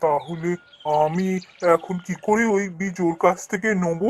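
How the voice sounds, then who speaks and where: A man's voice: sits high, measured pace, a little hiss or hum. A man playing a wily village woodcutter in a cartoon fairy tale, feigning innocence in a theatrical, pleading way. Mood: puzzled, aggrieved.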